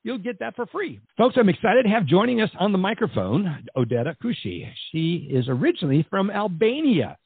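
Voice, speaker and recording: Man, podcast host, animated pitch, husky, dry close sound, enthusiastic. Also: fairly quick, few pauses, no room sound. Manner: enthusiastic, effusive. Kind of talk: interview podcast. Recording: dry, phone-like band.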